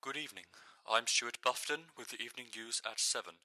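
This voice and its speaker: A crisp, forceful male news anchor, slightly low in pitch. He reads formally and quickly in a dry studio sound. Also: no echo, low-quality recording. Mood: grave, formal. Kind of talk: scripted news reading.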